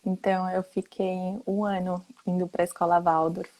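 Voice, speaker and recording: A woman's voice: lowish voice, flat delivery, normal speed, crowd noise, low-quality recording. A woman from Brazil, an architect, speaking earnestly and explaining her work as a guest in a casual online chat. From Brazil, grew up there.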